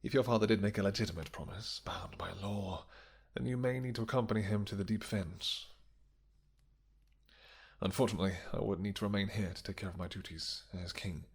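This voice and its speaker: A man's voice: on the low side, fairly quick, gravelly, husky. A man playing a tender, possessive vampire king with formal, courtly phrasing in a romantic fantasy audio roleplay. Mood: reassuring, concerned.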